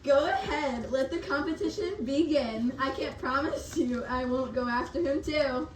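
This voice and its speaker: A woman as a high-pitched, gushing lady admirer. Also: moderate pace, lightly acted. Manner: gushing, playful. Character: smitten, gossipy, competitive young lady admirer. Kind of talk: amateur reader's theatre performance. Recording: roomy, distant, faint noise.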